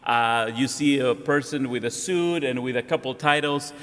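Mexican accented guy preaching, restarts a lot, kinda joking, echo of a big church hall. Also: medium pitch. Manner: halting, warm, self-deprecating, with frequent restarts. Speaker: guest pastor. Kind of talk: sermon. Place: large church sanctuary. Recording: long hall reverb.

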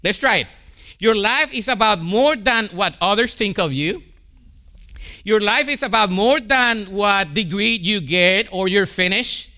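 A man's voice: sits high, bright, forceful tone. A man with a Colombian accent preaching a sermon, emphatic and repeating key lines for emphasis. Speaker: pastor.

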